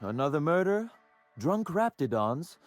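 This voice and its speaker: Game role: wry, self-assured schemer. Lively, sardonic, conversational delivery over soft music. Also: male voice, on the high side, unhurried. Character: glib, wry, self-assured male suspect. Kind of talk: video game acting.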